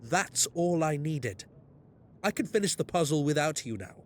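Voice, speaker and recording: An actor playing a cold, imperious detective with a clipped, condescending manner in an audio drama. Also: male voice, on the high side, lively intonation, normal speed, a little hiss or hum, natural voice. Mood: cold, dismissive. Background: guitar music.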